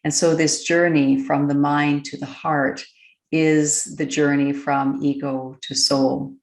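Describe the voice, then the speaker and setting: A woman leading a guided meditation, speaking gently and deliberately, with long pauses between phrases. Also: low-pitched, flat delivery, roomy sound, low-quality recording. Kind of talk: slow, unscripted guided meditation.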